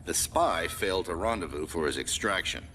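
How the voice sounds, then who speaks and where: A man playing an authoritative military commander who gives mission briefings in a firm, crisp, commanding manner. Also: low voice, lively intonation, moderate pace, bright, forceful tone, slight background noise. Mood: stern, informative. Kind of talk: scripted game mission briefing.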